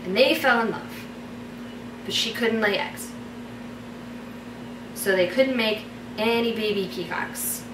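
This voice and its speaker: A woman's voice: on the high side, slow, long pauses. A woman vlogger telling stories in a casual, chatty and playful way, speaking straight to her viewers. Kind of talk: casual storytelling to camera. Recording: roomy echo, distant mic, background noise.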